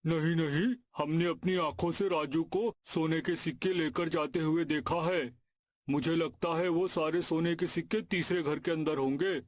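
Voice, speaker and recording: A man playing a greedy, excitable village schemer in a cartoon story, speaking in exclamations. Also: fairly high pitch, moderate pace, dry recording, studio quality, close to an everyday voice. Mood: greedy, scheming. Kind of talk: Hindi cartoon story acting. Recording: music behind the voice.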